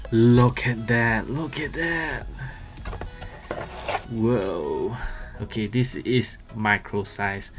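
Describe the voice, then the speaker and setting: Low-voiced man over loud music. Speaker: gadget reviewer. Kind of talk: casual unboxing commentary. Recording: music playing behind the voice.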